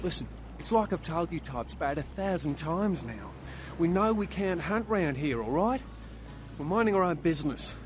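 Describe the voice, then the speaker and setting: Man playing a high-voiced aggrieved street vampire. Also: lively intonation, normal speed, music in the background, lightly acted. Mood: defensive, fed up. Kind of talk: video game dialogue.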